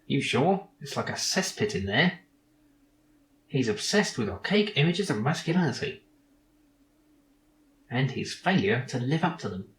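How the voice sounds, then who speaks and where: A man's voice: medium pitch, sing-song, unhurried, pauses often. Man voicing a snarky echoing little robot sidekick. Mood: knowing, smug. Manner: pedantic, sarcastic, dismissive.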